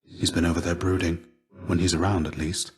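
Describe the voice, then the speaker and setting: A low-pitched, world-weary warrior shade, played by a man for a video game. He speaks with measured, archaic formality and theatrical weight. His temper is gentle and earnest, that of a fatherly old mentor guiding a younger pupil. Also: level tone, lightly acted. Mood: grave, guarded. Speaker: voice actor.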